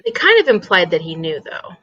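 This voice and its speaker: A woman's voice: lowish voice, wildly swooping pitch, a little fast, dull audio. A woman co-hosting an online book discussion in a casual, chatty style.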